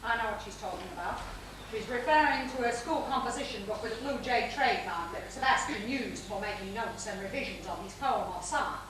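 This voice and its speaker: A woman's voice: mid-range voice, brisk, natural voice. A woman playing a possessive, imperious older mother in an amateur stage play, insistent and declamatory. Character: possessive, imperious older mother, bitterly devoted to her son. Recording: echoey theatre stage, distant mic, noise.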